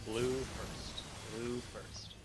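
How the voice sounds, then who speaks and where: A man leading an online game raid over voice chat, giving calm step-by-step callouts. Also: medium pitch, drawn-out words, very noisy. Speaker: raid leader calling mechanics. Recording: game music behind voice chat.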